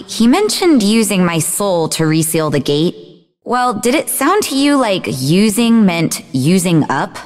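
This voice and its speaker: An actress voicing a sarcastic, sharp-tongued, bookish young half-demon woman in scripted video game dialogue, with a dry delivery. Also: mid-range voice, big-room sound. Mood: earnest. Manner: dry, sardonic.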